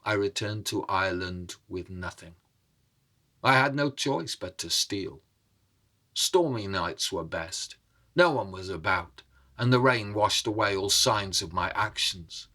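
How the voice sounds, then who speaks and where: Slow, deep-voiced dramatic male storyteller. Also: lively intonation, bright, forceful tone. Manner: measured, theatrical, suspenseful pauses. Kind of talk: dramatic oral storytelling over music. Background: instrumental music.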